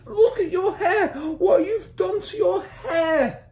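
A woman voicing a shrill, exasperated, scornful mother and wife from a children's story, shrieking and nagging. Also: sing-song, normal speed, warm tone, reverberant, lightly acted. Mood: shrieking outrage.

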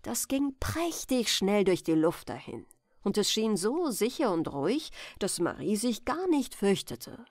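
A woman reading a bedtime fairy tale as a storyteller, in a gentle, expressive manner. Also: mid-range voice, a little slow, no echo, clean studio sound.

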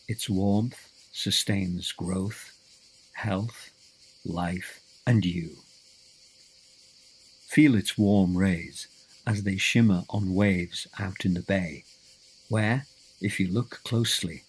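A man narrating a scripted guided visualization in a measured, deliberate way, giving instructions in short phrases. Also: low-pitched, slightly rough, poor audio.

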